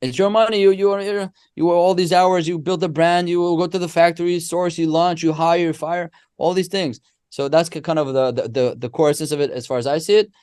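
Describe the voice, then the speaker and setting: A man, a podcast guest who explains ideas through animated, restart-filled stories. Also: fairly high pitch, brisk, clean tone, dry recording, studio quality. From Israel. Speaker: guest, company co-founder. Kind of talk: casual podcast interview.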